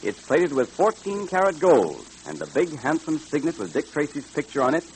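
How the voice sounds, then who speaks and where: A man's voice: on the high side, sing-song, normal speed, husky, rough recording. An American man announcing and selling to children in 1930s radio style, with a hearty salesman's delivery.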